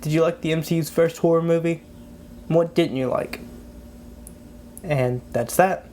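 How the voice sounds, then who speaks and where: Stuffy, higher-pitched man narrating with background noise. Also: measured pace, a little mellow. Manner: low-energy delivery. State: stuffy with a cold. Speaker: video host. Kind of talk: scripted film breakdown narration.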